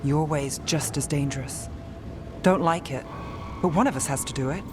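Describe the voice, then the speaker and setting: A woman's voice: sits low, moderate pace, natural voice. Woman doing a dry no-fuss mage detective, game music behind. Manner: dry and matter-of-fact. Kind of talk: video game cutscene voice acting.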